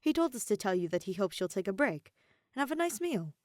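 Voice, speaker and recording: Woman voicing an eager, lively young male adventurer. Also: mid-range voice, fairly quick, dry recording, clean studio sound, lightly acted. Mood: cheerful, eager.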